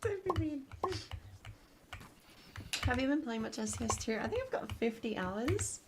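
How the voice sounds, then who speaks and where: Chatty Australian woman with steady hiss. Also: fairly high pitch, measured pace. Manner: laughs often, relaxed rambling with fillers. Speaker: streamer. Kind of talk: casual chat.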